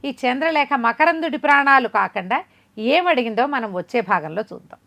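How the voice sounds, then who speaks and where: A woman narrating a folk tale as an oral storyteller, in an expressive, conversational way with rhetorical questions. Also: high-pitched, normal speed, vocal fry.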